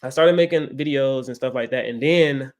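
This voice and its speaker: Male, 20s, interview guest. Medium pitch, casual storyteller who keeps checking the listener follows. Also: moderate pace. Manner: often checks in with "right?". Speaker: guest, credit content creator.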